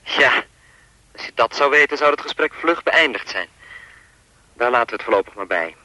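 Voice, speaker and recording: Menacing, sharp-voiced male phone stalker. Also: medium pitch, lively intonation, normal speed, no echo, rough recording. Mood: taunting, controlled. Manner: insinuating, mock-polite, rambling. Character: menacing male anonymous caller, chatty, lewd, taunting stalker. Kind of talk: radio play acting.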